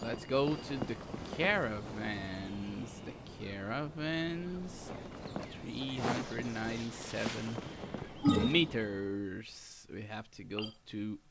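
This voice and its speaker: A man's voice: medium pitch, slow pace, heavy background noise. A man giving casual, reactive commentary as he plays a game, echoing and restating what he hears. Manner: reactive, echoes and restates the dialogue. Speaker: gaming streamer playing through a story quest. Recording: loud game music under voice.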